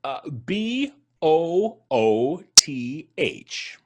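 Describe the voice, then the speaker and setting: An actor playing a calm, amused man who cheerfully expects to die soon, talking in an unhurried, cryptic way. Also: fairly high pitch, very noisy, full character voice. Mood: serene. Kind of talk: live radio drama reading.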